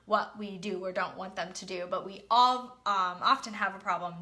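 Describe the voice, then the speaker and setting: A woman's voice: mid-range voice, fairly even intonation, moderate pace, sharp tone. A woman business coach giving a casual, emphatic motivational talk, slightly nasal and congested from a cold, repeating her key phrases.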